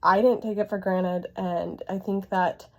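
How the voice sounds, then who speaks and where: A woman, hoarse from illness, talking earnestly and encouragingly to her viewers from her bedroom office. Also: mid-range voice, steady tone, measured pace. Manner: earnest, encouraging, laughs often. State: hoarse, voice coming and going from illness. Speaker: vlogger sharing her life as a widowed mother. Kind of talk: heartfelt casual talk to camera. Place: home bedroom used as an office.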